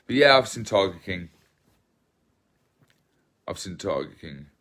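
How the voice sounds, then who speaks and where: A man in his thirties from England, hosting a casual livestream from his phone at home, soft-spoken and rambling, often repeating himself as he reads comments. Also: low-pitched, a little slow. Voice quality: relaxed voice. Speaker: livestream host. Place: small living room at home, indoors. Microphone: phone held at arm's length.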